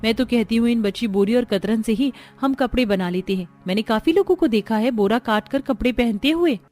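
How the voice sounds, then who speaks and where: A woman's voice: on the high side, brisk, a little mellow, close to an everyday voice. A woman voicing a kind, resourceful young village daughter-in-law in a cartoon story, earnest and caring. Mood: practical.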